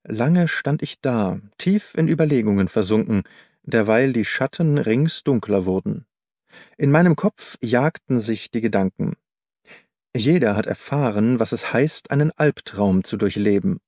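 A man reading literary audiobook narration in a measured, carefully articulated storytelling manner. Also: mid-range voice, animated, darkish tone, dry recording, clean studio sound. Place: dry studio.

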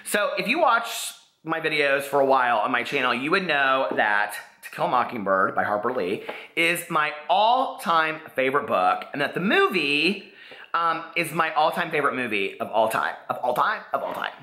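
A man in his forties with an American accent, chatting casually to camera in an excitable, rambling way with lots of "like". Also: a little high, normal speed. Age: 40s. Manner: excitable, rambling, peppers talk with "like". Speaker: book vlogger. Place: living room, indoors. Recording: echoey living room.

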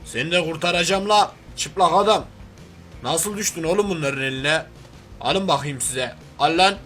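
A man puts on a crude, hot-headed oaf from a comic game cartoon. His voice is sharp and a bit raised in pitch, full of brash, slangy grumbling and threats. Music plays behind him. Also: moderate pace, lightly acted. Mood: angry, boastful. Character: crude, hairy, hot-headed Turkish oaf playing superhero.

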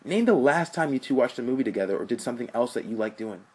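Man reading a hostile, defensive older brother; husky, on the low side. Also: quick pace. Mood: angry. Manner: angry, swearing, defensive. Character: defiant young man, slacker older brother.

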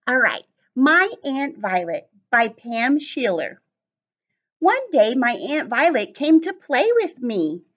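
High, sing-song woman teacher reading to children. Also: lo-fi sound. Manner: sing-song, exaggerated emphasis, addressing children directly. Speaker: elementary school teacher. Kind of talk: read-aloud story for young children. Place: small indoor classroom with cabinets and whiteboard.

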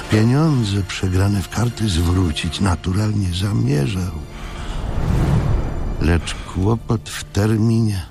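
A man reading scripted literary narration in a measured way, with dramatic pauses. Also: low-pitched, creaky. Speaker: audiobook narrator. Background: music.

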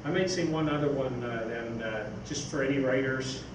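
An older man giving a public talk in a reflective, conversational way, pausing to think as he goes. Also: medium pitch. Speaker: guest speaker. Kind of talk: public talk with audience questions. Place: small theatre stage, indoors. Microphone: distant camera microphone. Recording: very echoey, noisy hall from far off.

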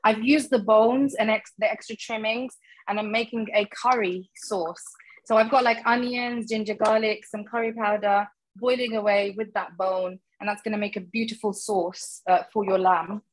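A woman's voice: mid-range voice, fairly even intonation, normal speed, low-quality recording. A middle-aged woman casually talking through a recipe as she cooks in a home kitchen. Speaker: guest cook demonstrating a recipe. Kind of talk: casual cooking demonstration.

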